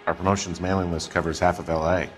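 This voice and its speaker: A male actor plays a guarded businessman in a detective game. His voice is low, with a weary, matter-of-fact delivery. Music runs beneath his lines. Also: little pitch movement, quick pace, close to an everyday voice. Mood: guarded, dismissive. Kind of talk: video game cutscene acting. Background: background music.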